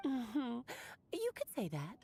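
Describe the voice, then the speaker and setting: Lilting, high-voiced theatrical storytelling witch. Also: huge pitch swings, slow, mellow, slightly rough, full character voice. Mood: coy, solemn. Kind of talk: scripted game dialogue. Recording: game audio with music behind.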